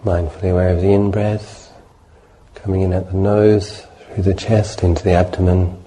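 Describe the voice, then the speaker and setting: A man leading a guided meditation as a Buddhist teacher, speaking in a measured, gentle way with deliberate pauses between short phrases. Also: deep voice, level tone, a little hiss or hum.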